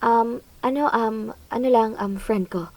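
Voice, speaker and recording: An actress playing an awkward, evasive young woman in a radio drama, talking in halting, polite bursts. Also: a little high, dry recording.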